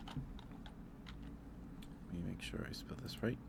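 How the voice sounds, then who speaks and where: A man hosting an ASMR livestream, chatting casually in a halting, rambling way with pauses and repetitions. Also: very noisy. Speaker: livestream host.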